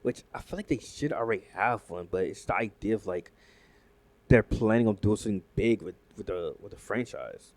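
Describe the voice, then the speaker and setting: A man with a fairly low voice, talking casually as he reacts to news. He rambles with excitement, restarting sentences and leaning on fillers. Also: moderate pace. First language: not Japanese. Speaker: YouTube commentator. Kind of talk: casual reaction commentary reading news aloud.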